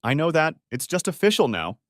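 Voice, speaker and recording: A man reads the part of a friendly, assertive woman in her forties from an audio story. The delivery is chatty and forward, with animated pitch. The sound is dry. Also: fairly quick, no room sound. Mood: playful, assertive. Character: friendly, forward divorced woman of forty-five.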